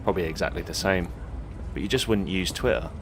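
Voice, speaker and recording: A man's voice: deep voice, fast talker, background noise. An actor playing a gullible, apologetic young man at a protest in a comic audio drama, tentative and earnest. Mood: bemused, earnest.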